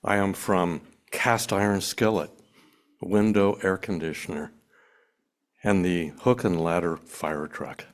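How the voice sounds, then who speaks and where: A man reading his own personal poem aloud at a church pulpit in a steady, deliberate way. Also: low voice. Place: church sanctuary.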